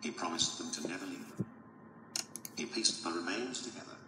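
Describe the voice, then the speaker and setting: A grim animatronic narrator voiced by a man for a horror game. The voice is deep and ominous, ringing in a long echo under loud noise. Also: brisk, natural voice. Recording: noisy, echoing playback.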